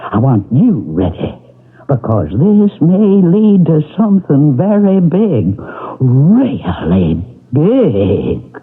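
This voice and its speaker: A husky, bossy fake medium. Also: male voice, on the high side, huge pitch swings, takes it slowly, exaggerated voice. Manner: bossy, coaxing. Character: scheming male fake medium coaching an assistant. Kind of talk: 1970s radio drama acting. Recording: tinny old radio broadcast.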